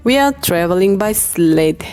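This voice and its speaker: A woman voicing a warm, organised mother pig in a children's storybook. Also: mid-range voice, expressive, a little slow, soft background music, lightly acted. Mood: motherly, cheerful.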